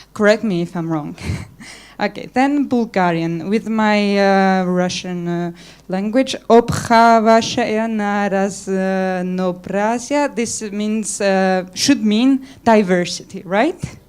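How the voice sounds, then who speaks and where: A woman's voice: mid-range voice, darkish tone, clear, resonant tone. A woman reading out notes to a conference panel, careful and amused as she works through foreign words. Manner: slow, careful, amused by pronunciations. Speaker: rapporteur.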